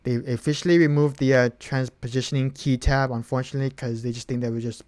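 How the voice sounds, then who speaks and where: A man's voice: medium pitch, steady tone, fast talker, darkish tone. A man chatting casually on his live stream between song requests, rambling with lots of fillers and repeated words.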